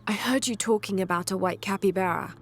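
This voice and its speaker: A woman playing an earnest young adventurer in a video game, speaking gently and politely as she questions and listens. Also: medium pitch, fairly quick, natural voice. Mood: curious, polite. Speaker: game protagonist. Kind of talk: video game cutscene dialogue. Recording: long reverb, music behind.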